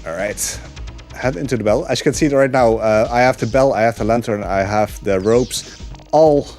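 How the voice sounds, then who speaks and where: A man giving relaxed live commentary on his speedrun, explaining the route with frequent fillers. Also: mid-range voice, normal speed, soft background music.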